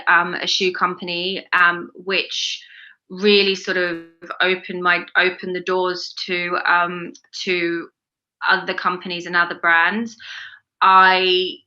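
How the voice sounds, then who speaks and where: An Australian woman in her forties speaks in a very bright, slightly low voice. She talks casually as an interview guest, wandering through thoughts with plenty of fillers and restarts. The recording sounds a little dull and lo-fi. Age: 40s. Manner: rambling, many fillers and restarts. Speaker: interview guest, fashion brand founder.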